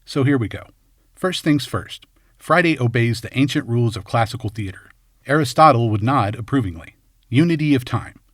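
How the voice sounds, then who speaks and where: A man's voice: medium pitch, expressive, moderate pace, no echo, clean studio sound. A man reading scripted film commentary as host, in a wry, tongue-in-cheek way. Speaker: video essay host.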